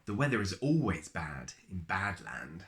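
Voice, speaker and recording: A man reading a children's picture book aloud in an expressive storyteller's manner, with dramatic pauses and drawn-out words. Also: low voice, slight background noise.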